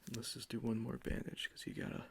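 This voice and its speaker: A man doing an ASMR roleplay in a soft, mostly whispered, gravelly voice, close to the mic. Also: medium pitch, monotone, quick pace. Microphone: close sensitive ASMR microphone.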